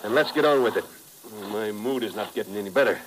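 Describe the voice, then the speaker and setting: An actor playing a hungover, irritable American playboy who talks in weary casual slang in a 1950s radio drama. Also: male voice, fairly low pitch, quick pace, slight background noise, close to an everyday voice, low-quality recording. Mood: grumpy, casual.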